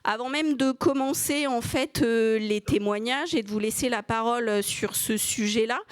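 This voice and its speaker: A woman moderating a conference session in a semi-formal, somewhat halting way. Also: on the high side, clear, resonant tone, no echo, studio quality. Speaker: moderator opening a panel session.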